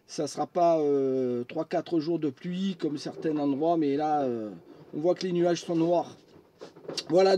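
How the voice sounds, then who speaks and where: High-voiced, mellow man chatting by radio. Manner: friendly, chatty. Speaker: amateur radio operator. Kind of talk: casual radio contact chat. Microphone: studio microphone at the radio. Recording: clear steady background noise.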